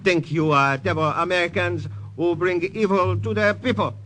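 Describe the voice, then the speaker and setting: Sly, high-pitched villainous colonel, old radio. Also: male voice, moderate pace, sharp tone. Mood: smug menace. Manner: clipped, formal, stilted English. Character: sly gloating Japanese colonel, wartime villain. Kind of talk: 1950s radio drama acting. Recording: old radio recording with music behind.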